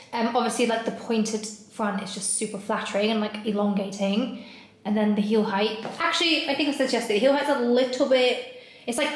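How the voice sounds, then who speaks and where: A woman's voice: medium pitch, slight background noise. Woman vlogger in her thirties, chatty and gushing, slight head cold, roomy echo. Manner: chatty, gushing, filler-heavy. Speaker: lifestyle vlogger. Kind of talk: casual vlog chat. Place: hotel room indoors. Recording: echoey room.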